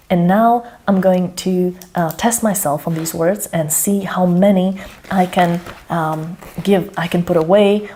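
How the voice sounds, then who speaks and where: A woman's voice: lowish voice, dark tone, slight background noise. A woman whose first language is Slovak, talking through her language study routine, chatty and self-correcting, often checking in with "okay?". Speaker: language mentor. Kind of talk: thinking aloud while demonstrating a study method.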